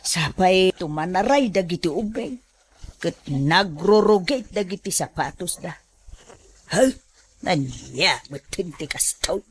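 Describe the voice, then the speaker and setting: A woman's voice: lowish voice, expressive, takes it slowly, husky, exaggerated voice. A woman with an Ilocano accent playing a put-upon, plaintive housewife who sighs and complains in a radio drama. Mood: weary, complaining. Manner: plaintive, sighing.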